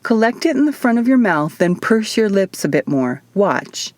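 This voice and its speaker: Female narrator as young heroine, sceptical, wry, expressive, fantasy story, dry recording. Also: mid-range voice, moderate pace, dry recording, voice pushed up, lightly acted. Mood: teasing.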